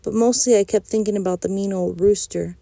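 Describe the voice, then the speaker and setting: A woman reading a children's chapter book aloud in a clear storytelling manner. Her voice is mellow and dark-toned, recorded close and dry with no room sound. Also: mid-range voice, fairly even intonation, a little fast, dry recording. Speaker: audiobook reader.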